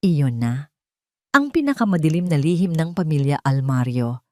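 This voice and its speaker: A woman's voice: low-pitched, expressive, moderate pace, dry recording, clean studio sound. A woman with a Filipino accent narrating a dramatic story in a solemn, emotive, scripted style.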